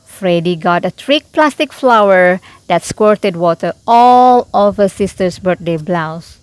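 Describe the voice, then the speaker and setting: A woman reading a children's storybook aloud in an expressive, unhurried way, with little asides to the listener. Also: mid-range voice, smooth, dry recording. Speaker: storybook reader.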